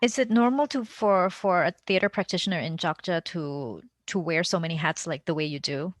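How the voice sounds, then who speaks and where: A woman's voice: on the low side, a little fast, no room sound. A woman interviewing a guest in a casual, conversational way, wording her questions loosely and informally as she goes. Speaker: podcast interviewer.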